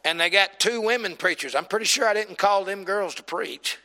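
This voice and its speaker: A preacher in his forties who jokes with and teases his congregation, then rises into shouts. His voice is bright and slightly high. It carries with a long reverb through a church hall. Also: brisk. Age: 40s. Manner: humorous, teasing the congregation, builds to shouting. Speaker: pastor. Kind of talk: sermon. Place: church sanctuary.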